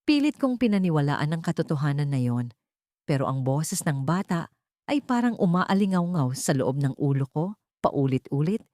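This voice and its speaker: A woman with a low, mellow voice narrates a scary first-person story. The delivery is scripted, dramatic and suspenseful, and the sound is close and dry. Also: big pitch swings, normal speed, no echo.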